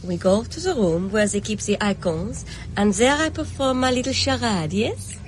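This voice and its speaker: A woman's voice: medium pitch, expressive, moderate pace, slight background noise, lightly acted. An actress playing a poised, worldly Parisian call girl in a radio drama, with an arch, teasing manner.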